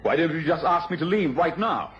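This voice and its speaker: An actor playing a dissipated, insolent gentleman drinker in a radio drama, nonchalant and mockingly polite. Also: on the high side, expressive, a little fast, husky. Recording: dull, noisy old radio recording.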